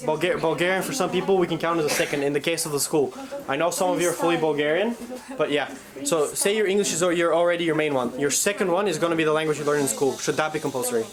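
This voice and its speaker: A woman's voice: low voice, very fast, few pauses. A young woman leading a classroom debate workshop, prompting the younger students with pointed questions. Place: busy classroom. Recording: classroom chatter behind.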